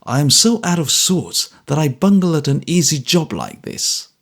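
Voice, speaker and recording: A man's voice: a little high, big pitch swings, normal speed, creaky, a bit bright, voice pushed up, full character voice. A man playing a kindly, troubled former trooper who talks earnestly and a little apologetically. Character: troubled ex-soldier, big, gruff and kindly.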